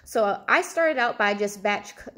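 A woman's voice: medium pitch, lively intonation, a little slow, sharp tone. A woman in her thirties giving informal advice to camera as a coach, conversational and thinking aloud as she goes. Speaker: fitness and nutrition coach. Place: indoors, small room at home, seated at a table.

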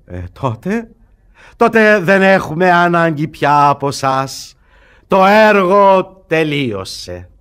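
Excitable old lord, swooping voice. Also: male voice, high-pitched. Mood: flustered. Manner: stammering, repeating words, theatrical. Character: elderly English lord, excitable, melodramatic, pleading father. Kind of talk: radio theatre comedy acting.

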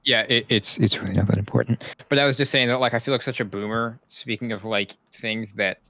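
Excitable twenties guy, dry close sound. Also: medium pitch, fairly quick, no room sound, low-quality recording. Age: 20s. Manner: excitable, repeats himself. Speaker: co-host. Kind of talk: casual banter.

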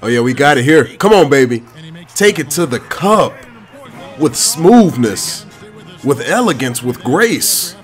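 A man giving excited, slangy commentary on his own video game play, full of playful trash talk. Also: mid-range voice, a little slow, faint music behind.

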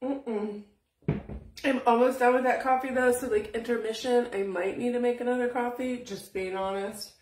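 A woman's voice: fairly high pitch, steady tone, moderate pace, darkish tone, far from the mic, a little hiss or hum. A middle-aged woman hosting a casual live painting tutorial from her small home craft room, chatty and rambling, laughing easily and a bit sniffly, with the phone camera often out of her reach. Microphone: phone camera above the table, often out of reach. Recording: echoey room, voice off-mic.

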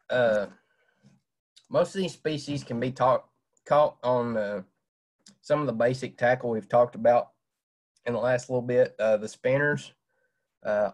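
Young guy talking fishing over a webcam, says uh a lot. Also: medium pitch. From Southwest Virginia. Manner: plain-spoken, many "uh" fillers. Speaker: fishing presenter. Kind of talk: casual slideshow talk. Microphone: webcam on video call.

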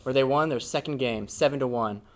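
A young male student anchor reads the school announcements from a small studio desk. His voice sits in a middle range, and he drops in deadpan jokes between items. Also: mid-range voice, a little fast. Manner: joking, deadpan asides. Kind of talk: school morning news broadcast. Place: indoor studio before green screen.

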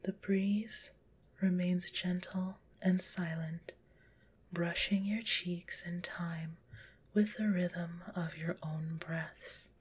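A woman softly whispering a guided relaxation in an ASMR style, gentle and soothing, with a slightly stuffy nose. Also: lowish voice, fairly even intonation, slow pace. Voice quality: breathy whisper; stuffy-nosed. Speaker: guided visualization narrator.

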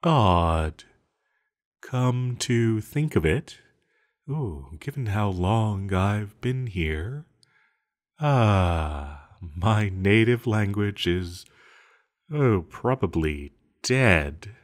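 An ancient villain sorcerer, acted by a man speaks in a low voice at an extremely slow pace. He muses calmly between hums and halting pauses, as in an intimate audio drama, with menace kept quiet underneath. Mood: musing, curious. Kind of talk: intimate scripted audio roleplay monologue.

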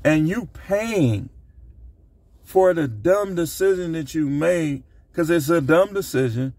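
A man's voice: a little high, expressive, slow pace, a little mellow, dry recording. An American man in his fifties giving blunt, streetwise advice in a casual monologue, repeating phrases for emphasis, recorded on a phone inside a car. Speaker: vlogger giving relationship advice. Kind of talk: casual opinion monologue to camera. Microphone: phone at arm's length. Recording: clear car and outdoor traffic noise.

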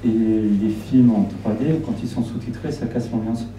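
A man's voice: lowish voice, fairly even intonation, normal speed, dark tone, slight background noise. A middle-aged man from Argentina, whose first language is Spanish, speaking into a handheld mic at a small public event and often repeating words while he thinks. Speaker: film director. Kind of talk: public Q&A talk. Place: small shop event room indoors with audience. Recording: roomy, from a distant camera.

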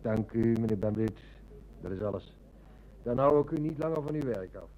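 An actor playing a shrewd, methodical police inspector near retirement in a radio play, with measured, polite questioning. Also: male voice, medium pitch, lively intonation, mellow, lightly acted, rough recording. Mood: polite, probing. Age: older. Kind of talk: Dutch radio play acting.

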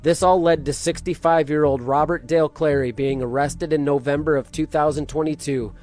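A man narrating a true-crime case in a steady, matter-of-fact way. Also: a little high, brisk, faint music behind.

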